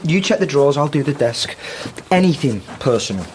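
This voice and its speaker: A woman's voice: sits low, expressive, fairly quick. A woman playing a businesslike British character, terse, dry and matter-of-fact, in a naturalistic radio drama. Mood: brisk, appraising. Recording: noticeable noise with clicks behind.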